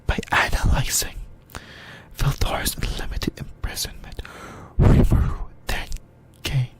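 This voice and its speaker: A person whispering breathily in a halting ASMR explainer about anime lore, often repeating phrases. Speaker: YouTuber, ASMR host.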